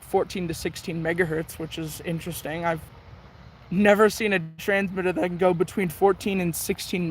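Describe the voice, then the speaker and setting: A man with a notably high voice gives casual, rambling hobbyist commentary. He thinks out loud in a chatty way as he works a radio dial. There is faint noise behind him. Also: rough recording. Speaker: shortwave radio hobbyist host.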